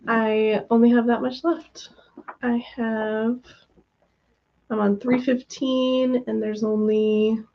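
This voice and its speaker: Host, female, worn by a cold; higher, mellow tone; chatty and laid-back, lots of ums. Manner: chatty and relaxed, lots of "um"s and small laughs. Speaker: livestream host of reading sprints. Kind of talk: casual livestream chat. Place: at home, room with her cat. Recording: dull lo-fi stream audio.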